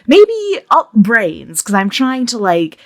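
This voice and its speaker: Animated woman with a slow, hesitant delivery. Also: fairly high pitch. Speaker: player in a tabletop roleplay podcast. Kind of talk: casual game chat.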